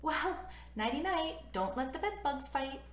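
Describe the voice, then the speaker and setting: Woman playing storybook little girl, stubborn, excitable, high, bouncy intonation, echoey room. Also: a little slow. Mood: feigning innocence. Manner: emphatic, childish phrasing. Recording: roomy, echoey room sound.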